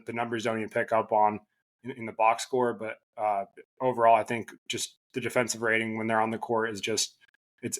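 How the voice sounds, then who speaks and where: A man who is a guest on a basketball podcast, losing his voice and talking casually in halting bursts with many restarts. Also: medium pitch.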